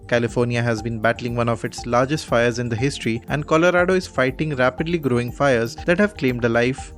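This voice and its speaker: Male radio news presenter, Indian accent, mid-range pitch, scripted bulletin read with faint music underneath.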